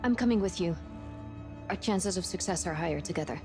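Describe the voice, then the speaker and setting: An actress playing a determined, practical spaceship crew member in a sci-fi game, firm and direct. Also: female voice, on the low side, a little fast, music in the background, close to an everyday voice. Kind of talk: sci-fi game dialogue acting.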